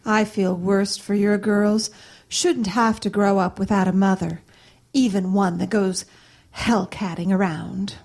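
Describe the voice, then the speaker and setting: A woman playing a plainspoken, folksy aunt in an audio drama, kindly but sharp-tongued. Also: medium pitch, fairly even intonation. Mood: sympathetic. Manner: folksy, brisk, matter-of-fact.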